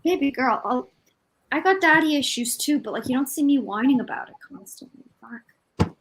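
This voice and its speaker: A woman's voice: high-pitched, animated, normal speed, rough recording. A woman chatting casually about books as a livestream co-host, exasperated and a little self-deprecating.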